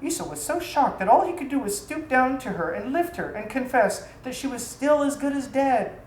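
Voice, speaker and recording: A woman reading a literary story aloud as a storyteller, with dramatic, deliberate phrasing. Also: high voice, expressive, a little mellow. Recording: echoey room, faint background noise.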